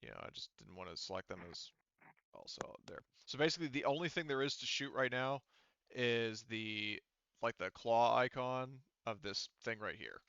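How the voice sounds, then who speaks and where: A man with a crisp, bright voice leads a tabletop game as game master. He explains options in a casual way and thinks out loud. The recording is close and slightly dull. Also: medium pitch, animated, moderate pace, dry recording.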